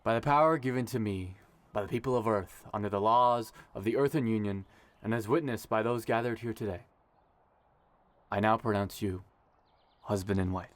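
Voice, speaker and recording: A man's voice: lowish voice, moderate pace, no room sound, close to an everyday voice. Sincere young ruler, acted by a man. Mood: solemn, warm. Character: earnest young male ruler officiating and confiding in friend. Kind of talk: audio drama acting.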